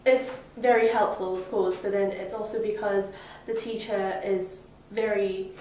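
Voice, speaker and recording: A woman's voice: medium pitch, steady tone, measured pace, darkish tone. A seventeen-year-old girl from Hong Kong, a summer school student, answering interview questions with many hesitant fillers. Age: teens. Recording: echoey room, distant mic, faint noise.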